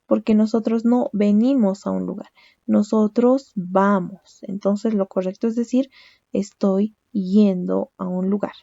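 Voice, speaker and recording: A woman's voice: medium pitch, steady tone, unhurried, vocal fry, mellow, dry recording, highs cut off. A young woman teaching a language lesson, explaining step by step and often checking with a questioning "¿no?". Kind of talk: recorded lecture.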